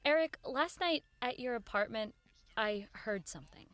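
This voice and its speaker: A woman's voice: on the high side, lively intonation, moderate pace, a bit bright, dry recording. An actress playing an earnest, persistent woman who runs a radio show, in audio drama dialogue and first-person narration. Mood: earnest insistence.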